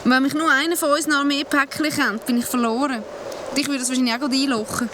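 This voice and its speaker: A woman's voice: high-pitched, steady tone, quick pace, background noise, close to an everyday voice. An actress voicing a brave, earnest female talking parcel with a Swiss accent in a children's radio play. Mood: earnest, resolute. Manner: earnest, encouraging.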